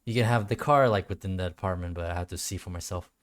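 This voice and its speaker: A man's voice: sits low, fast talker. A man streaming a game with casual solo commentary, often muttering to himself and casually swearing.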